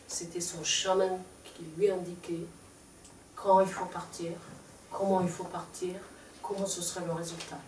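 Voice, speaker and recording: A woman's voice: fairly low pitch, warm tone, a little hiss or hum. Mongolian-accented woman in an echoey hall. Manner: hesitant with frequent fillers. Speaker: interpreter and conference presenter. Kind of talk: live conference talk. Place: lecture room. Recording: echoey room, mic far off.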